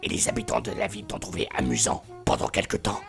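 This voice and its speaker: A man voicing a mocking, menacing comic-book film supervillain with a low gravelly voice. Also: sing-song, fast talker, faint music behind, heavily acted.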